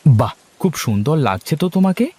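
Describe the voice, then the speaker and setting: A man's voice: on the high side, sing-song, brisk, warm tone, husky. A man voicing an earnest, put-upon village farmer and husband in a cartoon story, plain-spoken and complaining. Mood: affectionate, puzzled. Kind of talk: Bengali cartoon story voice acting.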